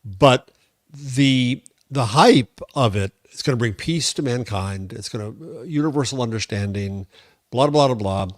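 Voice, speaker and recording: An American man co-hosting a tech podcast, talking conversationally in an opinionated, deliberate and emphatic way. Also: medium pitch, dry recording, studio quality. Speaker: podcast co-host and commentator.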